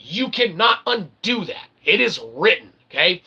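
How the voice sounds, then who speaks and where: A man's voice: high voice, expressive, normal speed, sharp tone, husky. A man hosting a rambling, tongue-in-cheek monologue to his viewers, promoting his own work and often checking in with "okay". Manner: tongue-in-cheek, self-promoting, repeatedly tags phrases with "okay". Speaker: independent philosopher channel host.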